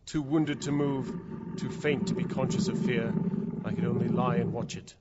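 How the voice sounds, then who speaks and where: A man's voice: mid-range voice, fairly even intonation, moderate pace, close to an everyday voice. An actor playing a terrified, trapped man who recounts his ordeal in suspenseful, theatrical audio drama narration. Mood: weak, grim. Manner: suspenseful, theatrical, swinging from hushed to frantic. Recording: dull, lo-fi audio with loud background noise.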